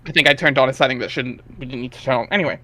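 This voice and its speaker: A man's voice: mid-range voice, racing, bright, forceful tone. A man narrating a comic read-aloud in a casual way, chatting between lines, on a headset mic. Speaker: narrator of a webcomic dramatic reading.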